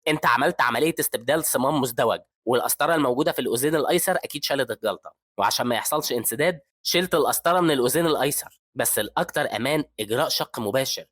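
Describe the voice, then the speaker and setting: A man with an Egyptian accent voicing a calm, confident young male doctor who speaks in a steady, matter-of-fact way. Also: medium pitch, rapid-fire, few pauses, no room sound, close to an everyday voice. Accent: Egyptian Arabic.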